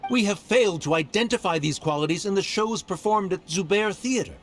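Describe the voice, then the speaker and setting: A man's voice: sits high, fairly quick, soft background music, lightly acted. A man voicing a haughty male scholar who lectures in a formal, dismissive way. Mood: condescending. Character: haughty male Academia scholar, condescending debater. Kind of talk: game voice acting.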